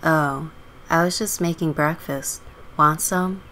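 Woman as a low-voiced, sardonic teenage runaway girl. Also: fairly even intonation, slow pace, pushed and bright, heavily acted. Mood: dry, offhand. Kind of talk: scripted life-simulation game story.

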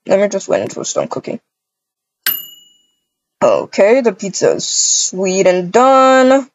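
A woman with a husky voice improvising a family roleplay in a halting, repetitive way, full of cooing endearments. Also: medium pitch, huge pitch swings. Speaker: roleplay video creator voicing all characters. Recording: dull, lo-fi microphone sound.

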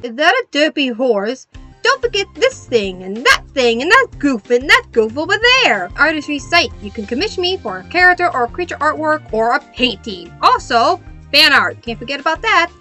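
A young adult woman narrating a craft video as host in a playful, animated, self-mocking way. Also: high-pitched, moderate pace, soft background music.

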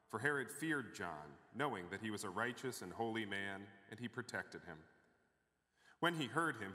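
A middle-aged man reads scripture in a measured, clear voice, bright and forceful. He is heard from a distant camera. The reading carries a long reverb from the church sanctuary. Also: fairly low pitch. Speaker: pastor. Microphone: far camera.

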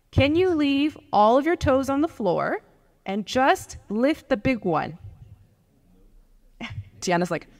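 Young woman coach, high animated voice, upbeat teaching manner, handheld mic in a gym hall with long reverb. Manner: lively, coaching, laughs often, checks in with okay. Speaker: fitness coach giving a keynote. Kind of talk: live workshop talk, mixing English and German. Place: large gym hall with a seated audience. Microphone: handheld microphone.

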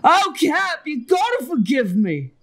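Cartoon red panda pirate sidekick: high, swooping, theatrical, scheming, over a noisy track. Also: female voice, sing-song, measured pace, a bit bright, heavily acted. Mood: remorseful, self-scolding. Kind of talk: motion comic voice acting.

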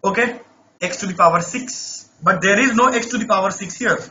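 Man, online math tutor, coaxing, checks in often, voice a bit off, high and very bright, dull lo-fi sound. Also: fairly quick, a little hiss or hum. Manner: coaxing, repeats checks like "right?". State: voice not normal, says he lost his voice. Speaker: math teacher. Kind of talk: live online class, problem solving.

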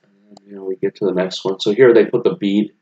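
Man, deep monotone, relaxed rambling voice-over, slightly dull audio. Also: brisk. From United States. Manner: relaxed, rambling, many fillers and restarts. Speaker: vlogger showing his apartment renovation. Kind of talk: casual narration over photos.

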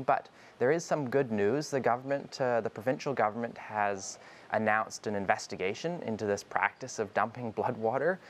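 A man's voice: lowish voice, a little hiss or hum. A young man from Canada, a filmmaker interviewed from a newsroom on a lapel mic, earnest and full of fillers. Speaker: guest filmmaker. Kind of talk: remote interview. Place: newsroom studio.

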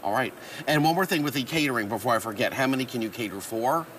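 A man's voice: medium pitch, quick pace, a bit bright, a little hiss or hum. A middle-aged man reporting for a local TV feature from a bowling alley, upbeat and playful, laughing between questions. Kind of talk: on-location feature interview.

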